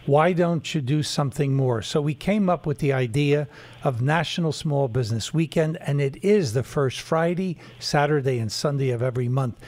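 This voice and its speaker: A man's voice: on the high side, normal speed, darkish tone. Earnest male talk show host. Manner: earnest, promotional, many fillers.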